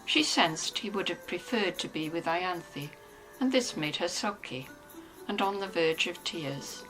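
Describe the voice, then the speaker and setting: A woman narrates a literary story from a script. Her voice is low for a woman yet bright in tone. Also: animated, unhurried, faint music behind, low-quality recording.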